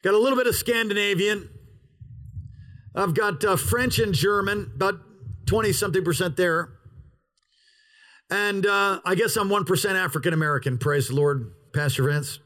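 A pastor preaching an outdoor sermon in a parking lot, with an opening prayer and emphatic, drawn-out pauses. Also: male voice, high-pitched, a bit bright.

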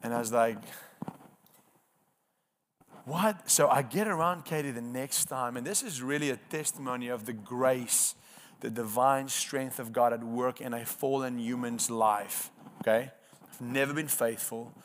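A man's voice: medium pitch, unhurried, slight background noise. A man in his thirties with an Afrikaans accent and Afrikaans as his first language, preaching a personal testimony in an earnest, emphatic storytelling way. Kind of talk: sermon testimony.

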